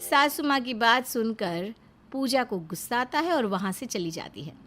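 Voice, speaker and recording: A woman's voice: on the high side, animated, moderate pace, sharp tone, faint music behind. A woman narrating a scripted family story as a storyteller.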